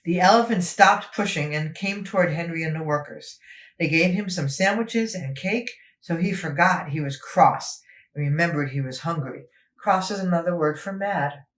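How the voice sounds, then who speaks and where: A woman's voice: fairly low pitch, normal speed, echoey room. A woman storyteller narrating a children's book expressively, as if for young listeners.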